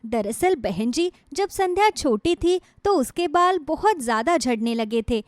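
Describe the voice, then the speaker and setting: A woman's voice: sits high, expressive, a little slow, no room sound, clean studio sound. A woman voicing a devoted, reassuring middle-aged mother in a moral story cartoon, earnest and soothing. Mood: explaining.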